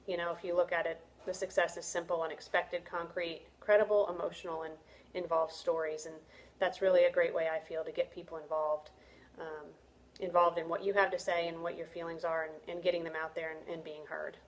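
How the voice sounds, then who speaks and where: A woman's voice: fairly low pitch, flat delivery, brisk, slight background noise. A middle-aged woman talking through a casual spoken reflection for a class, hesitant and self-conscious, with frequent ums and restarts. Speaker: student reflecting on a course.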